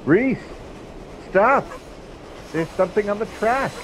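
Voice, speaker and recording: A man's voice: high voice, expressive, slow pace. A teenage boy playing a watchful train crewman in a school radio play. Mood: alarmed. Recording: faint train noise behind.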